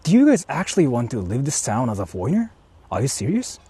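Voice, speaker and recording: A young man, walking around outdoors and chatting casually with livestream viewers, often speaking in a hushed, murmuring voice. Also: mid-range voice, wildly swooping pitch, fast talker, husky. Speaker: vlogger streaming while exploring. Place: outdoors walking through an empty housing estate.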